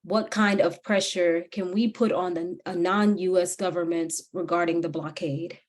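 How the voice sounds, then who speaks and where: A woman's voice: on the low side, steady tone, normal speed. A woman moderating a webinar Q and A, hesitant with many ums, reading questions aloud over a video call.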